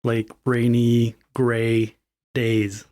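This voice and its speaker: A man's voice: medium pitch, monotone, drawn-out words, dark tone. A man speaking as a podcast guest, thoughtful and a little hesitant. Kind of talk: casual check-in talk.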